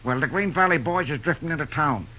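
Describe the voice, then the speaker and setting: An actor playing a plain-spoken, blunt railroad trainman in an old-time radio drama, with a husky voice and folksy working-man talk. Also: fairly high pitch, lively intonation, quick pace, muffled recording, slight background noise, natural voice. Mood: worried, blunt.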